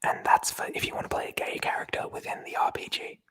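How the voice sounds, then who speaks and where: Someone whispering in a breathy voice, reading aloud from a book in an ASMR style with chatty, informal commentary. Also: fast talker, fairly bright tone. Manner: chatty, informal, swears casually. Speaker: presenter reading aloud from a tabletop RPG book.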